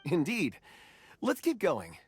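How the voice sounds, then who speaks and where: An actor playing a reserved young miner in an anime-style video game, speaking in a measured, reflective and slightly hesitant way. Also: medium pitch, wildly swooping pitch, breathy edge, faint music behind, heavily acted. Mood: thoughtful.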